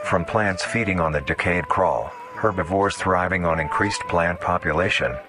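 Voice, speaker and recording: A man reading scripted mock-documentary horror narration in a formal, measured, explanatory way. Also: deep voice, over music.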